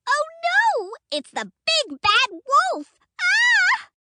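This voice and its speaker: Woman playing a spry cartoon grandmother, elderly, slow, wildly varied pitch, melodramatic. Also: huge pitch swings, heavy background noise, full character voice. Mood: terrified. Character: spry, doting old granny with hidden toughness. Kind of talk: children's cartoon fairy tale acting. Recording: loud music under the voice.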